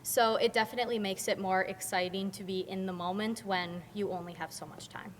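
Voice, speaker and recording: A woman's voice: mid-range voice, fairly even intonation, fairly quick. Teen drama girl being interviewed, roomy hall echo, faint noise. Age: teens. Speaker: student actor. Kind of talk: casual interview.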